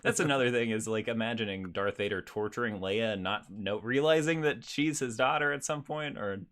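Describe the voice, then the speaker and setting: Guy with an average pitched voice co-hosting a podcast, casual jokey chat. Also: a little fast, fairly bright tone. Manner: conversational, jokey. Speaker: podcast co-host.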